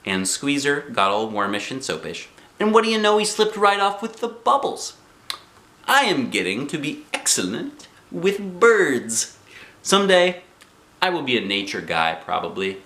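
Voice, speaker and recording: A man voicing an excitable, boastful first-grade girl telling her journal in a dramatic, earnest, childlike way from a storybook. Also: a little high, measured pace, voice pushed up, full character voice. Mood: proud, musing. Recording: roomy home recording.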